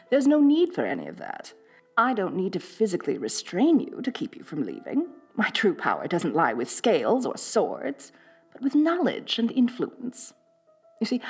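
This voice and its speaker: A woman's voice: a little high, darkish tone, breathy edge, exaggerated voice. A woman playing a rich, bossy lamia mistress in a roleplay audio drama, haughty and condescending with affected elegance. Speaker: wealthy mistress addressing a new servant.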